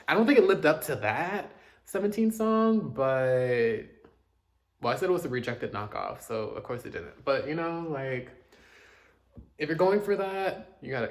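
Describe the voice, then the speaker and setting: A man casually chatting through his music reviews, chatty and self-deprecating, with joking asides. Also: fairly high pitch. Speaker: K-pop reaction host.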